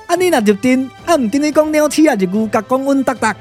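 A cheeky immortal magician from a Taiwanese puppet radio drama, played by a man. He boasts and grumbles in a folksy, clownish way with a Taiwanese accent and plenty of exclamation. Soft music runs underneath. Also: very high voice, lively intonation, fast talker. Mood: comic worry.

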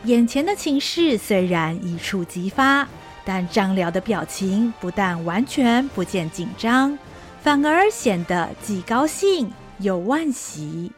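A woman's voice: medium pitch, sing-song, slow, soft background music. A woman narrating a historical radio drama in a vivid, dramatic storytelling style.

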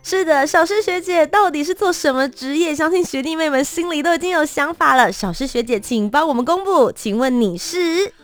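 A woman's voice: sits high, lively intonation, brisk, few pauses, soft background music. A woman with a Taiwanese Mandarin accent hosting an upbeat interview. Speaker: host.

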